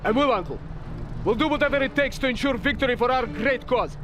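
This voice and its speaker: A man playing an eager, zealous young Soviet captain in a video game cutscene, speaking with crisp military fervour. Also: very high voice, expressive, fast.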